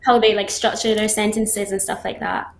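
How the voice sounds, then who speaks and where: A young Barbadian woman in her twenties answers interview questions, relaxed and giggly, with frequent ums. Her voice sits at an ordinary pitch and rings a little in an echoey room over a faint background hiss. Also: moderate pace. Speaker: guest athlete.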